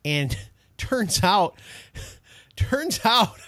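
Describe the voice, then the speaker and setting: A young man from Detroit hosting a casual podcast chat, excitable and laughing as he tells stories. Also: high voice, drawn-out words, dry recording. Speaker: podcast host.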